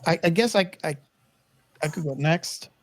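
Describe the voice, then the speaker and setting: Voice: medium pitch, normal speed, no echo. A podcast co-host casually discussing a film, hesitant and often searching for words.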